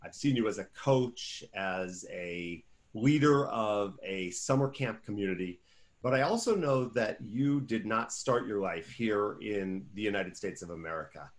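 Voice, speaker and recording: Deliberate male interviewer. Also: fairly low pitch, lively intonation. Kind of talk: interview questions.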